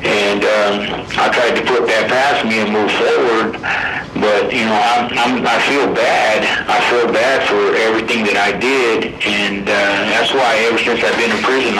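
A man in his sixties giving rambling testimony as a prisoner at a hearing, halting and repetitive, with informal folksy grammar. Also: lowish voice, steady tone, loud music behind the voice. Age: 60s. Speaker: prisoner at a parole board hearing. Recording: echoey room with loud background noise.

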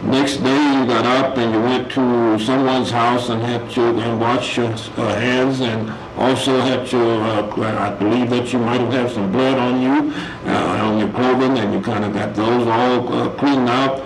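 A man's voice: mid-range voice, steady tone, unhurried. A man on a parole board asking questions at a hearing, formal and hesitant, full of fillers and frequent use of sir. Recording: echoey room with loud noise.

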